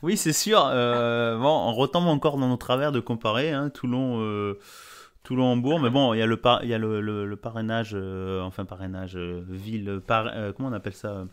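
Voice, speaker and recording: A man's voice: medium pitch, steady tone, moderate pace, clear, resonant tone. A man hosting a podcast interview, chatty and full of fillers and friendly digressions. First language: bilingual French-Spanish. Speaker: podcast host. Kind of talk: casual interview chat.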